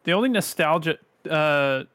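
A man's voice: fairly high pitch, expressive, normal speed, a bit bright. A man in his twenties co-hosting a gaming podcast, eager and agreeable, with frequent "yeah" and "um.". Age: 20s. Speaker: podcast co-host. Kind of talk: casual chat.

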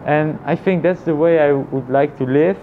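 A man thinking aloud in a casual, reflective personal vlog, with halting phrasing and frequent repetition. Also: on the high side, narrow, tinny audio, a little hiss or hum. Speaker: vlogger.

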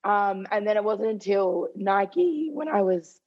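A woman's voice: medium pitch, lively intonation, measured pace, highs cut off. Chatty young woman losing her voice. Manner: chatty, heavy use of "like". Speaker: guest runner. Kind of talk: casual interview chat.

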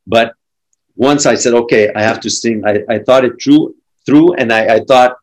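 A middle-aged man from France with a French accent, a drummer chatting casually and telling animated stories full of restarts. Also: medium pitch, normal speed. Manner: animated storytelling with frequent restarts. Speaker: drummer and podcast guest. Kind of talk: casual conversation.

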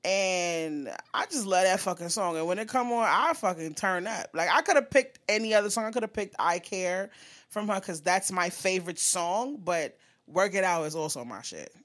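Animated woman in casual podcast chat. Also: lowish voice, moderate pace. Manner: animated, swearing, breaks into singing. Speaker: podcast co-host.

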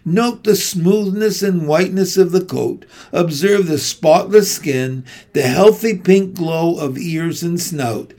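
A man voicing a grand, formal loudspeaker announcer at a fair in a storybook reading. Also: high voice, slow, few pauses. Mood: booming. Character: booming fairground loudspeaker announcer, male.

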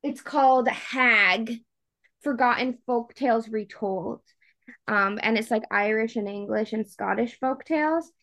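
Female podcast co-host, slightly high voice, chatty and slangy, for informal book talk. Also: a little slow, fairly bright tone. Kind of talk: casual book chat.

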